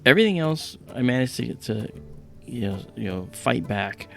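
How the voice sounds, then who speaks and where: Creaky-voiced man chatting as livestream host. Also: expressive, moderate pace, a bit bright, soft background music. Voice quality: deep voice from a paralyzed vocal cord. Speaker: livestream host and astronomy presenter. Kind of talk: casual chat and explaining.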